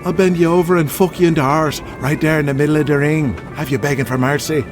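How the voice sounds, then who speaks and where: A man's voice: fairly high pitch, steady tone, music in the background, lightly acted. A man playing a foul-mouthed Irish wrestler. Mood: mocking. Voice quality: foul-mouthed, fast and rowdy. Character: crude brawling Irish male wrestler, boastful. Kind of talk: audio drama acting.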